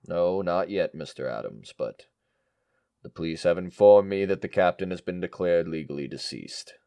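An actor playing an unflappable male attorney in an audio drama, speaking in a measured, officious way. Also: low voice, gravelly, mellow, clean tone, no room sound, clean studio sound. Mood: solemn. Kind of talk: audio drama acting, reading a will.

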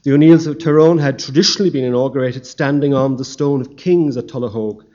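A man delivers a formal history lecture, reading his paper at an even, measured pace. His pitch sits in an ordinary middle range. The recording is dull and lo-fi.

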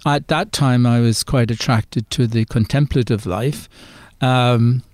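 An older man with an Irish accent, a Jesuit priest answering interview questions in a thoughtful, hesitant way with many pauses. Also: medium pitch, fairly even intonation, a little mellow, no room sound. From Ireland. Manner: hesitant, reflective, many fillers. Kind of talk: interview conversation.

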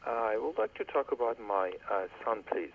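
A man's voice: medium pitch, very animated, vocal fry, slight background noise. Hesitant male caller on a phone line. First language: Persian. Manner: halting, many hesitations, polite.

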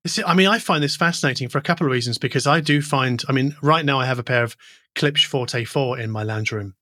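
A man's voice: medium pitch, fast, bright, forceful tone, no room sound, studio quality. A middle-aged man hosting a conversational technical interview, reflective and inquisitive in the way he talks. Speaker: podcast host interviewing an audio engineer.

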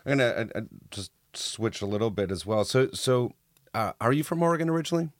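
A man interviewing a guest, framing his questions haltingly with many repeated words. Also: mid-range voice, expressive, dry recording, clean studio sound.

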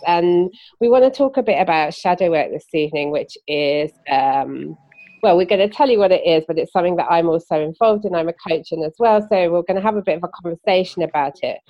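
A woman's voice: lowish voice, highs cut off. A woman hosting a podcast from London, chatting loosely with frequent ums and restarts. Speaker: podcast host. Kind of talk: casual conversational interviewing.

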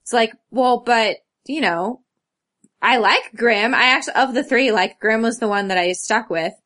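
A woman co-hosting a casual podcast chat, talking earnestly and haltingly, with "like" scattered all through. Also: on the high side, a bit bright. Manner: earnest, halting, filler-heavy with frequent "like". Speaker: podcast co-host. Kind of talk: casual chat about fandom and stories.

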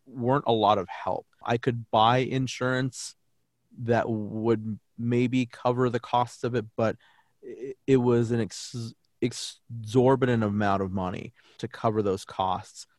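A man's voice: medium pitch, steady tone, unhurried, a little mellow, no echo, studio quality. A young adult man, a native English speaker who teaches German, talking earnestly and reflectively in a podcast interview, often restarting his sentences.

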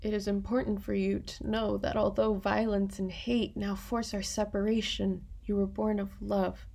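Actress as a wounded young granddaughter, creaky and soft. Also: female voice, mid-range voice, flat delivery, normal speed. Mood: tender, sorrowful. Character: hesitant, wounded granddaughter, reading old letters aloud tenderly. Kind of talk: radio play acting.

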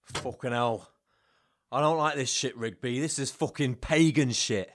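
Actor as a slow, menacing, foul-mouthed gangster boss. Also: male voice, medium pitch, fairly bright tone, natural voice. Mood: uneasy. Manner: aggressive, profane, blustering. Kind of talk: dark comedy crime drama acting.

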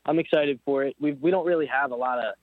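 A young man from Pennsylvania talks in an easygoing, rambling way and often restarts his sentences. He comes through a tinny phone line with a dry sound and no room echo. His pitch sits in an ordinary middle range. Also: fast. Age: young adult. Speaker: guest, motorcycle racer. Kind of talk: casual interview over the phone.

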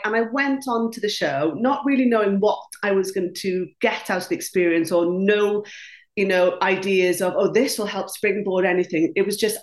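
A woman in her late thirties whose first language is Welsh, chatting enthusiastically about her career in an interview with frequent ums. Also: mid-range voice. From Wales. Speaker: guest, dietitian and former baking contestant. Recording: roomy echo.

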